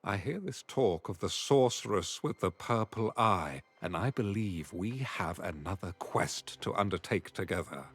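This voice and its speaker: An actor playing a dutiful, aging knight in a fantasy game, speaking formally and earnestly. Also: male voice, on the low side, animated, unhurried, hardly any pauses. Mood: resolute.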